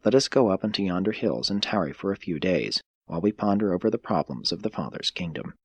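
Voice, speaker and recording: A man voicing a calm, commanding spiritual master in an audiobook, speaking with earnest authority. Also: deep voice, fast talker, slightly rough, no room sound. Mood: calm invitation.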